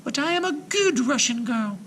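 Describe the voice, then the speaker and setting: A man voicing a timid, polite little girl in a storybook, in a thin falsetto with an uncertain, pleading manner. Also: lively intonation, moderate pace, a bit bright, recorded from a distance, a little hiss or hum. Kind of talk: storybook read-aloud character voice.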